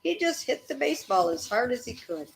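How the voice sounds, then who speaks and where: A woman reading a children's story aloud expressively, in an animated way meant to engage a young listener. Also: on the high side, a little fast, gravelly, slight background noise.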